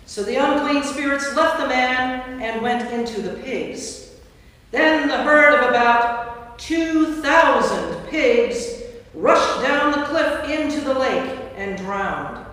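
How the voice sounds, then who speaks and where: A woman's voice: high voice, fairly bright tone. Woman narrating scripture, echoing church. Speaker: narrator, priest. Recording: distant mic, very echoey church hall.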